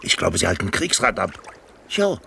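A man playing a strong, placid, plain-spoken sailor in a German radio play, with a husky voice and simple, hesitant phrasing. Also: low-pitched, sing-song, normal speed, sharp tone, a little hiss or hum, full character voice. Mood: placid, thoughtful.

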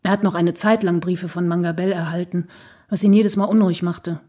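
Actress as a mellow, wistful reminiscing woman. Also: lowish voice, steady tone, brisk, lightly acted. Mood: wistful, reflective. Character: wistful, reflective woman remembering her writer lover. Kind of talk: documentary radio play monologue.

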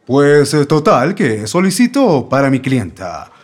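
A man's voice: medium pitch, big pitch swings, a little slow, husky. A man playing a pompous defense lawyer demanding damages in a comic radio court sketch, with a Mexican Spanish accent. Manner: loud, formal demanding.

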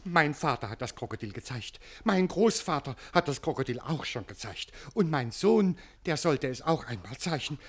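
A man's voice: a little high, very animated, normal speed, hardly any pauses. An actor playing a greedy, indignant German showman who blusters and whines in a theatrical radio comedy. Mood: indignant, boastful. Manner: blustering, whining, exclamatory.

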